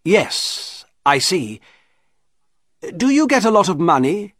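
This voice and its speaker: A man voicing a courteous, uneasy gentleman doctor in storybook dialogue, reserved and a little hesitant. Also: high voice, sing-song, takes it slowly, voice pushed up, heavily acted. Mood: curious, hesitant. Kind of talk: audiobook character dialogue.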